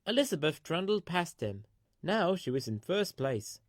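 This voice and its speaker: Man, slightly high voice, lively intonation, children's story read-aloud, dry close recording. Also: moderate pace, no echo.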